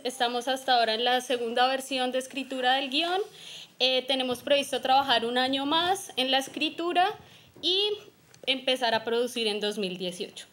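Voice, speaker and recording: A woman's voice: high-pitched, a bit bright. A young woman filmmaker from Colombia briefly describing her project.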